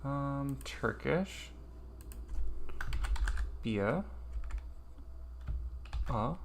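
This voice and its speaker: A man's voice: medium pitch, lively intonation, very slow, vocal fry, slight background noise. A man streaming a casual study session, thinking aloud hesitantly with lots of ums.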